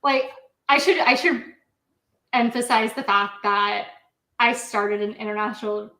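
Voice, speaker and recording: A woman's voice: medium pitch, measured pace, sharp tone, reverberant. A woman from the US Midwest hosting a live stream, chatting casually and laughing often as she talks. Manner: giggly, talks through laughs. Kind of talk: casual chat.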